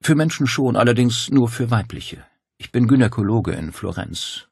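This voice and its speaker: A man's voice: mid-range voice, lively intonation, normal speed, creaky, a little mellow, slightly rough, dry recording, studio quality, pitched above the performer's own voice. A man voicing an unruffled, condescending doctor with a solicitous, dryly indulgent manner in an audiobook. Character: unruffled, condescending male gynaecologist, feigning concern. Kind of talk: dramatic audiobook dialogue.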